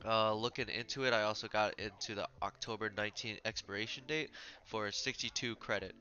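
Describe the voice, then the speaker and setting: A man's voice: on the low side, steady tone, fairly quick, pushed and bright. A man with a stuffy, slightly sick voice, casually walking through an options trading tutorial with lots of "uh" fillers, on a dull lo-fi mic. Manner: casual, filler-heavy explaining with frequent "uh". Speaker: trading channel host. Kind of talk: screen-share trading tutorial.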